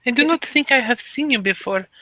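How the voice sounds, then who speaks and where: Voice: mid-range voice, lively intonation, a little fast, sharp tone, dry recording, pitched above the performer's own voice, low-quality recording. A player voicing a young female creature with a tail who speaks stiffly and formally, without contractions. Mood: curious.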